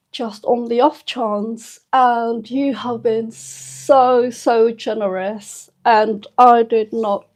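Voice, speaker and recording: Soft, slow-spoken woman in her fifties. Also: fairly high pitch. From United Kingdom. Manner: soft-spoken and hesitant, with many pauses and fillers. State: emotional and a little tearful. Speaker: channel host. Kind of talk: casual live-stream chat with viewers.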